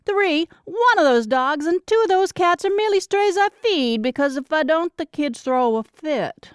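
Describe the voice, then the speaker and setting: A woman's voice: high voice, expressive, normal speed, no room sound, clean studio sound, pitched above the performer's own voice. A woman voicing a bossy, teasing younger sister and busy mom in an audiobook, emphatic and argumentative. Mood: defensive. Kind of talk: audiobook character dialogue.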